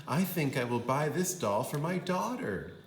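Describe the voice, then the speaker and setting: A man's voice: a little high, big pitch swings, measured pace, roomy sound, slight background noise, pitched above the performer's own voice. A man voicing an admiring, easily startled lady shopper in a storybook.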